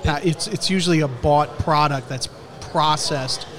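A man's voice: fairly high pitch, measured pace. A male host asks casual, joking questions in an interview. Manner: jokey, conversational questions. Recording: outdoor crowd noise.

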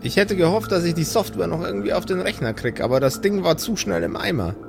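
A man's voice: sits high, fast, vocal fry, music in the background, pitched above the performer's own voice. A man voicing a thoughtful, curious female doctor who speaks hesitantly and repeats phrases while pondering. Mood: dry, explaining.

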